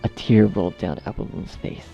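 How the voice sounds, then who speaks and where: A man reads a scripted horror story as narrator. His voice is low with heavy creak, set over clearly audible music. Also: fairly even intonation, moderate pace, dark tone, dull audio.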